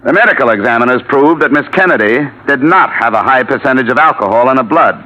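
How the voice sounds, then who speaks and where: An actor playing a tough investigator accused of murder in an old-time radio drama, speaking in a terse, direct, intense way. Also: male voice, mid-range voice, animated, quick pace, close to an everyday voice. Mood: determined. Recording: dull vintage radio recording.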